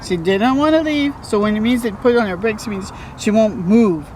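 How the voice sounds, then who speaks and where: A woman reading a children's picture book aloud as a storytime host, expressive and chatting to young listeners. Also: medium pitch, moderate pace, a little hiss or hum. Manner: expressive, interjects comments and questions to young listeners.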